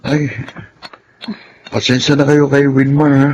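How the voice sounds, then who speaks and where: An actor playing a kind, understanding teenage son, mild and earnest, with a Filipino accent in a radio drama. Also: male voice, medium pitch, flat delivery, slow, darkish tone, highs cut off, slight background noise, natural voice. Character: understanding, dutiful teenage son, the kinder twin.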